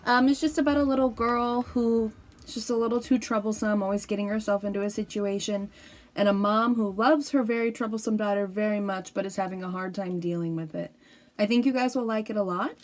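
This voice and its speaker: A woman's voice: medium pitch, fairly even intonation, a little fast, no echo. A woman reading a picture book aloud for children, narrating and speaking to her young listeners directly. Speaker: storybook reader.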